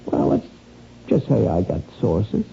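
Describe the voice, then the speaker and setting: Sly blackmailing crook, low and gravelly, slangy and insinuating, radio drama on a dull recording. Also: male voice, sing-song, unhurried, mellow, a little hiss or hum, full character voice. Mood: smooth, menacing. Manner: hesitant, insinuating, folksy slangy talk with ain't. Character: sly, wheedling small-time crook pressing a blackmail scheme.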